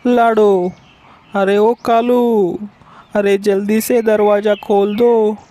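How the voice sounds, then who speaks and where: A man's voice: high-pitched, little pitch movement, takes it slowly, dark tone. A man voicing a hapless male crow who grumbles aloud to himself in a children's cartoon. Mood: pleading. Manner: exclaiming, complaining aloud to himself. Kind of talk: Hindi children's cartoon voice acting.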